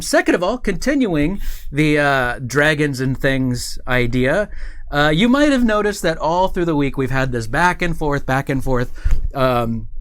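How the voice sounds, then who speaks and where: A man's voice: a little high, fairly bright tone. A man hosting a live stream, chatting informally and playfully with his audience as he rambles through announcements.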